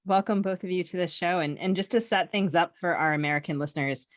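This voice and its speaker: A woman's voice: lowish voice, fast talker, dry recording, studio quality. A woman co-hosting a podcast in a conversational way, with a wry, teasing manner. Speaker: podcast co-host.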